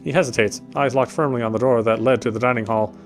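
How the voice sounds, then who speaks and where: A male let's player with a medium-pitched voice reads game narration aloud. He comments casually and sometimes stumbles on words, with light music in the background. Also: steady tone, fast talker.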